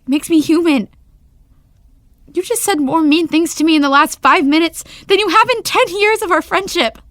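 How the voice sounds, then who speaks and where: A woman's voice: very high-pitched, moderate pace, fairly bright tone, no echo, clean studio sound. An actress playing an emotional young woman who is hurt and angry at her best friend. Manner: emotional and dramatic. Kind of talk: web series drama acting.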